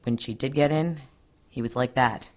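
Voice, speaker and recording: A woman's voice: rumbling low, moderate pace. A woman playing a tough police detective in an audio drama, dry, curt and commanding. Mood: businesslike. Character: tough no-nonsense female police detective, sarcastic boss.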